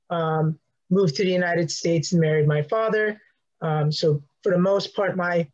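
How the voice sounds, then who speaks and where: A young man in his twenties speaking as a panelist in an online discussion, measured and earnest, pausing with fillers. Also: sits high. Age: 20s. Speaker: student panelist. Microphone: video call. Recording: slightly muffled call audio.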